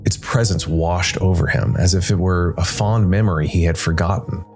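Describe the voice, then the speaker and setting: Low-voiced man narrating over music. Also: fairly even intonation, moderate pace, a little mellow. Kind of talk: scripted dark fantasy story narration. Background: background music.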